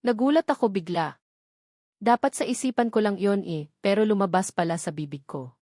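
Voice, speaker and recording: A woman with a Filipino accent narrates a first-person romance novel. Her pitch sits in the middle range, and the sound is dry with very little room. She reads as an audiobook storyteller. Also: moderate pace, mellow, dry recording.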